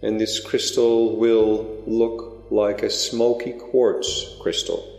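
Man, guided meditation, gentle drawn-out phrasing, echoey room, soft new-age music. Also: fairly low pitch, little pitch movement, creaky. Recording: roomy reverberant recording with soft music.

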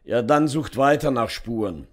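An actor playing a blunt, sceptical police inspector in crime radio drama dialogue. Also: male voice, mid-range voice, expressive, normal speed, natural voice. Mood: businesslike.

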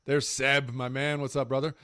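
A man's voice: mid-range voice, level tone, moderate pace, pushed and bright, clear, resonant tone, no room sound, studio quality. A man in his forties hosting a panel over a video call from his home, upbeat and chummy, with loose fillers. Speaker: panel host. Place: home room with shelves. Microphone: large desk mic on video call.

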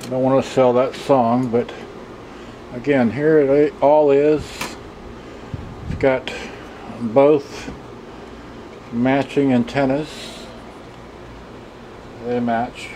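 A man's voice: medium pitch, fairly even intonation, extremely slow, long pauses, mellow, slight background noise, rough recording. A man muttering in short fragments through a casual, off-the-cuff demonstration, recorded on a camcorder's own microphone. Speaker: vlogger showing vintage electronics. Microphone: handheld camcorder's built-in microphone.

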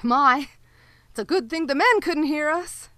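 Nervous sheriff's wife, high voice, halting, radio play, dry sound. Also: female voice, animated, pushed and bright, dry recording, full character voice. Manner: nervous, with anxious laughs and halting. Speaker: Mrs. Peters, sheriff's wife. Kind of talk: live radio play acting. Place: home closet.

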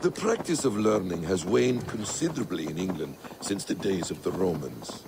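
A low, lilting male voice, playing an aged scholar-warrior in a video game. He speaks eloquently and gravely, with theatrical swells and dips, over clearly audible outdoor noise. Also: lively intonation, moderate pace. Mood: nostalgic, learned. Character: worldly, thoughtful Middle Eastern scholar-warrior, grave and poetic. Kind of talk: dramatic video game acting.

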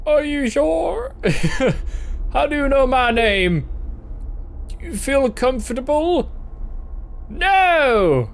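A man's voice: very high voice, very animated, very slow, gravelly, pauses often. A young man doing casual, playful let's-play commentary, reacting and reading game text aloud. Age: young adult. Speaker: gaming video host.

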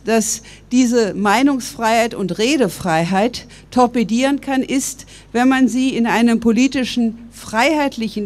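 An older woman from Berlin delivers a public speech outdoors into a stand microphone. Her voice sits a little high, and she builds her argument in a measured, earnest way. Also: a little mellow. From West Berlin, Germany. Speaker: public speaker. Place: outdoors by stone columns.

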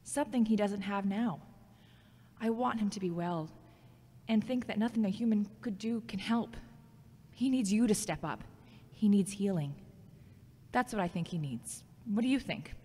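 A woman's voice: medium pitch, normal speed, long pauses, dark tone, husky, natural voice. A woman acting a worried, frank woman who prays in a wry, informal way in an audio drama. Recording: echoey hall with long reverb.

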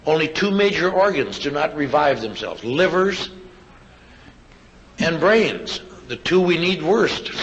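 A man's voice: fairly high pitch, fairly bright tone. Older man, convention testimony, plain wry storytelling, muffled recording, slight background noise. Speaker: speaker at a recovery convention. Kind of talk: personal testimony speech to an audience. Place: live convention talk. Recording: dull, lo-fi live recording, faint noise.

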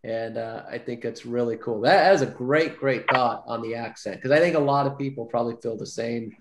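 A man's voice: medium pitch, brisk. A man in his thirties, hosting and coaching casually on a group video call, encouraging and repeating his points. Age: 30s. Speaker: roundtable host, coach. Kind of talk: casual meeting moderation. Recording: noisy video call with clicks.

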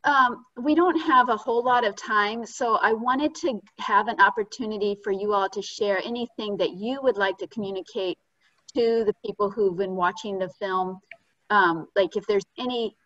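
A woman's voice: medium pitch, normal speed, fairly bright tone, no echo. Young female moderator, hesitant and filler-heavy, dry lo-fi computer mic. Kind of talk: panel Q&A moderation. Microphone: computer mic on a video call.

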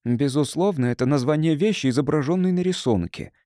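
A man's voice: on the high side, brisk, mellow, no room sound, studio quality, close to an everyday voice. A man voicing an earnest, easily flustered young monk who speaks stiffly and solemnly. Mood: irritated. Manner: stiff, defensive, solemnly scholarly.

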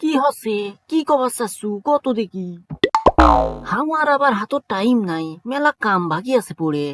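Man voicing a suspicious cartoon pal, Bogura accent, high voice, impatient questions. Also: big pitch swings, normal speed, fairly bright tone, a little hiss or hum, exaggerated voice. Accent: Bogura dialect of Bangla. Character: busy, suspicious young male friend.

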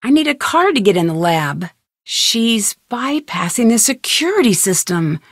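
A woman playing a young, curious and determined amateur detective in a video game, thinking aloud in clearly articulated scripted lines. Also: fairly high pitch, very animated, unhurried, no echo, studio quality, full character voice. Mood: musing, focused.

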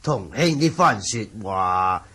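Excitable bookish wuxia lord, Hong Kong Cantonese, very husky, unhurried, theatrical, radio drama. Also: male voice, on the low side, heavily acted. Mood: scornful. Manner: theatrical, savouring recitation. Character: bookish, excitable calligraphy-obsessed manor lord.

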